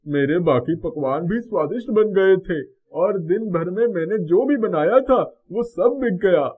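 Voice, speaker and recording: A man's voice: sits high, lively intonation, measured pace. A man voicing a humble, polite and hesitant young sweet seller in a moral story cartoon. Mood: earnest. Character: humble, earnest poor young male sweet-shop owner. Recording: dull muffled audio.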